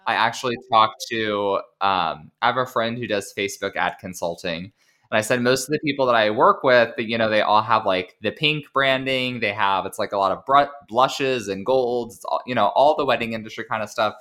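Bright-voiced chatty man. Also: lowish voice, a little fast. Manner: chatty, informal, frequent "like" and "um". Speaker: podcast guest, business coach. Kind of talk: casual podcast interview. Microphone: remote call.

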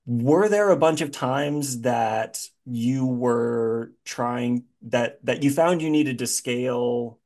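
A man hosting a casual interview, asking questions in a halting, think-aloud way. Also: medium pitch, darkish tone. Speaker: podcast host, interviewer.

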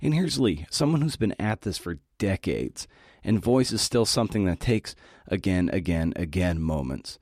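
A middle-aged man with a low voice delivers a prepared talk, dropping in casual asides. He is folksy and encouraging. The sound is close and dry, with little room around it. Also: lively intonation, moderate pace, a little mellow, no echo. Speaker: podcast host giving a talk.

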